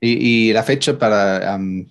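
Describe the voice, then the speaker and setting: Halting non-native male interviewer. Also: on the low side. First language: English. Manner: halting, searching for words in a second language. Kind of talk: casual interview.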